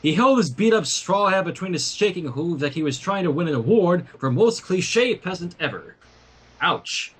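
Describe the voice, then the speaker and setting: A man with a high, slightly husky voice reads a story aloud. His pitch moves around a lot as he narrates and drops in casual remarks. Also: expressive, normal speed. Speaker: fan fiction reader and livestream host.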